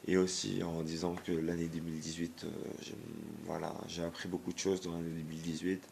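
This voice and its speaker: A man, hoarse from a cold, talks in a deep, gravelly voice. He hesitates often, restarting phrases, yet his judgments come out blunt. A faint hiss of noise sits behind him. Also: level tone, brisk, a little mellow. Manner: hesitant, many fillers and restarts; blunt, disapproving. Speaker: YouTuber. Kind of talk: casual vlog rant.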